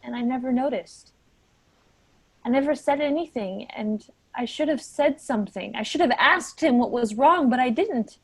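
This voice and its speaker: A guilt-ridden, easily wounded female office worker, played by a woman in a staged reading. Her voice sits fairly high. The sound is dry, with almost no room around it. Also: moderate pace, slightly rough, no room sound.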